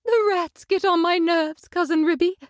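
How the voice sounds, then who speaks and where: A woman's voice: normal speed, dry recording, studio quality. A woman voicing a fussy, tearful mother cat who wails and frets. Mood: complaining. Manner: high wailing, tearful.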